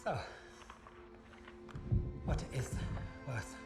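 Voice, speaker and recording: An actor playing a menacing, condescending Nazi interrogator with a German accent in a video game cutscene. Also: male voice, extremely slow. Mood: menacing, mocking. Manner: condescending, mock-polite, menacing. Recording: echoey room, distant, loud background music.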